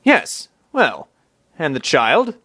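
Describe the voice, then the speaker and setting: A man's voice: fairly high pitch, wildly swooping pitch, slow, pushed and bright, breathy edge, dry recording, exaggerated voice. A man voicing a callous, imperious father in a comic dub, brusque and blustering. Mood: brusque, cold. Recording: voice over background music.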